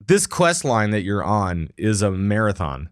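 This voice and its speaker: A man's voice: on the low side, sing-song, a little slow, dry recording, studio quality. A man hosting a casual gaming podcast, talking in a rambling, enthusiastic way with many restarts. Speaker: podcast host.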